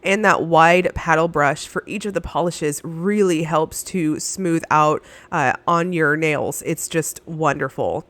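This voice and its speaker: Woman, slightly low voice, nasal and stuffy from a cold, gushing nail polish review, dry close-mic voice-over. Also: a little slow, hardly any pauses, a bit bright, no echo. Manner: enthusiastic, gushing, with frequent fillers. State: stuffy, under the weather.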